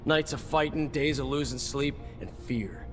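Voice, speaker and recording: An actor playing a cynical veteran soldier in a video game, gruff and blunt in manner. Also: male voice, mid-range voice, moderate pace, lightly acted. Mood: grim, resentful. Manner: gruff, sardonic, blunt, swearing. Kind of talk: video game dialogue acting. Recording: music behind the voice.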